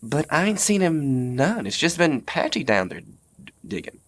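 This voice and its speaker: A man's voice: a little high, very animated, normal speed. A man playing a timid, dim-witted American bandit brother in an audio drama, hesitant and stammering in a folksy way. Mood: uneasy.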